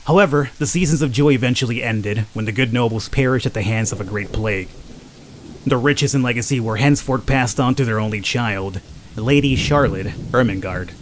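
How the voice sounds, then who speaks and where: A man reading visual novel narration aloud as a solemn horror storyteller, in a measured, dramatic way. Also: medium pitch, noisy background, lightly acted.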